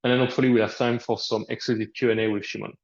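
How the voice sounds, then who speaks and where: A man with a French accent introduces a guest on a webinar. His voice is of middling pitch, and the sound is echoey and dull, as if recorded in a bare room. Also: level tone, very fast. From France. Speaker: host.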